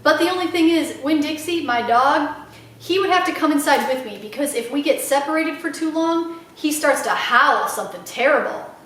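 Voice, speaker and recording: A woman's voice: sits high, animated, moderate pace, fairly bright tone, recorded from a distance, a little hiss or hum. A woman voicing an earnest, polite ten-year-old girl, sincere and pleading, in a children's storybook reading. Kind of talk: children's storybook read-aloud. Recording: echoey room.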